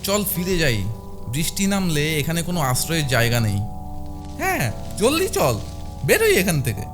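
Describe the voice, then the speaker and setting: A man playing an impulsive young friend in an animated horror story. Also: a little high, moderate pace, over music. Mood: urgent.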